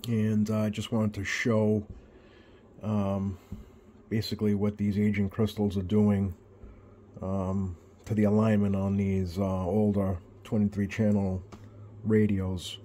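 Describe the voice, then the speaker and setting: A man giving a casual hands-on repair demonstration at his workbench, talking haltingly and pausing often as he works. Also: fairly low pitch, level tone, mellow, a little hiss or hum. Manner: halting, hesitant, pausing often while working. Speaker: radio repair hobbyist presenting a bench video. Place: indoors at a workbench.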